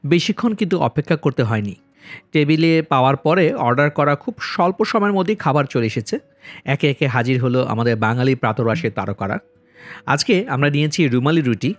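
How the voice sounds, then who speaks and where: A man narrating a vlog as its host, politely and in measured phrases, speaking directly to viewers. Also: a little high, dry recording. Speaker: travel vlogger and host. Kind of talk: vlog voice-over narration with review commentary. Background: background music.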